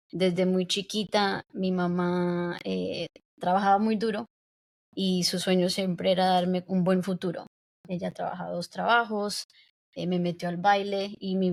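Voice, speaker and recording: Reflective young woman, hesitant speech. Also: mid-range voice. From United States. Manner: reflective, hesitant with many fillers. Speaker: podcast guest. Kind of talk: casual interview talk.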